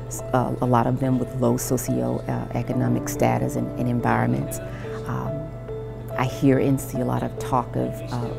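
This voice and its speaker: A woman answering in an interview, halting and repeating words as she searches for phrasing. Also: extremely low pitch, gravelly.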